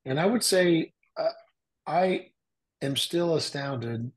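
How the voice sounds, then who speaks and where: A man in his sixties talking casually on a podcast as a guest, in a measured, halting and reflective way. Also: on the high side, expressive, husky. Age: 60s. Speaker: podcast guest and father of the host.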